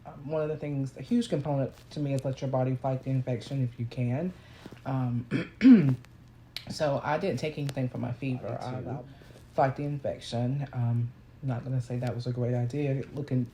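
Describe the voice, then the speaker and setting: A woman with a naturally deep voice, hoarse while ill, telling a casual, rambling personal story and correcting herself as she goes. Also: mellow, slight background noise, poor audio. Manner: rambling, self-correcting, conversational. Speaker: vlogger.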